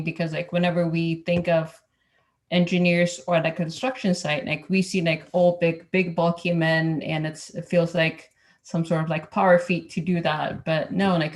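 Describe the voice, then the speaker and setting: A woman from Ethiopia who works as an engineer, speaking as a roundtable panelist in a hesitant way, with many fillers and restarts. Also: fairly low pitch. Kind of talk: casual roundtable talk.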